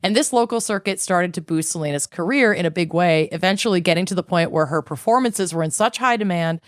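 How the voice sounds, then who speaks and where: Chatty low-voiced woman, smooth and dry. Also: fast, dry recording. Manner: chatty, swears casually, uses filler "like". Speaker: podcast co-host. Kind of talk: casual storytelling chat.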